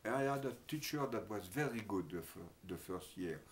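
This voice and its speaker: An older man with a French accent, recalling his past in an interview, speaking haltingly with many pauses and fillers. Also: fairly low pitch, expressive. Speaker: oral history interviewee. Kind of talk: reminiscing in an interview.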